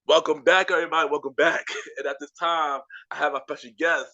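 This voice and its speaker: Bright-voiced man podcast host. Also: on the high side, fairly quick, creaky, muffled recording. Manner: repeats and restarts phrases, relaxed slangy talk. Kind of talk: casual interview chat.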